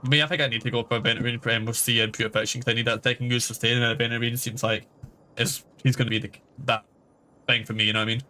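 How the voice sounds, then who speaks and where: A man's voice: medium pitch, fairly even intonation, racing, sharp tone, low-quality recording. A man with a stuffy nose giving casual, chatty game commentary as he streams, thinking aloud. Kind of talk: casual live gameplay commentary. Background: faint music.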